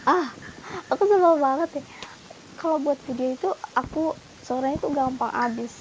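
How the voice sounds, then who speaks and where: A woman beauty vlogger chatting casually to camera in a product review, talking to her viewers as guys with lots of fillers, her voice wearing thin and hoarse. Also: sits high, unhurried, a little mellow, slight background noise, rough recording.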